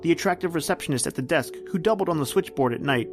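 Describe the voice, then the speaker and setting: A man's voice: a little high, expressive, fast talker. A man hosting and narrating in a scripted, theatrical old-time radio storytelling style. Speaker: podcast host and narrator. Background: music.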